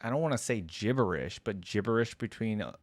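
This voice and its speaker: Very low-voiced woman musing casually. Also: animated, brisk, a little mellow. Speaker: reaction video host. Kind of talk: casual off-the-cuff reaction commentary.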